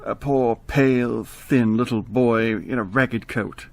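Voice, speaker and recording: A man playing a frightened, remorseful elderly miser in a radio drama, stammering and halting with theatrical emotion. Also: medium pitch, fairly even intonation. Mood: sorrowful, regretful.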